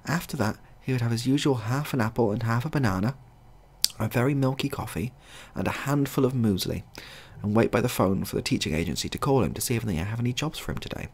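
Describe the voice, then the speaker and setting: A man narrating a scripted comic short story as a storyteller, in a husky, gravelly voice. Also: medium pitch, fast.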